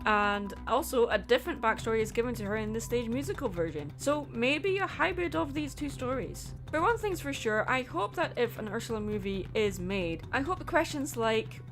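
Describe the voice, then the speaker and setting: A woman's voice: a little high, big pitch swings, moderate pace. A woman hosting a video essay, reading scripted commentary. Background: background music.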